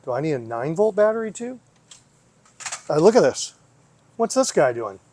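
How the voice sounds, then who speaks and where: A man with a somewhat high-pitched voice talks through a hands-on repair. He speaks casually, as if to himself, thinking aloud and putting questions to himself as he works. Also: sing-song, moderate pace, warm tone. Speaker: vlogger, hobby repair host.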